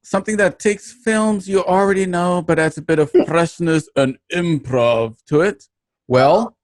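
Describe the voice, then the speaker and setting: A man's voice: mid-range voice, dry recording. A man reading a scripted sponsor promotion as an announcer.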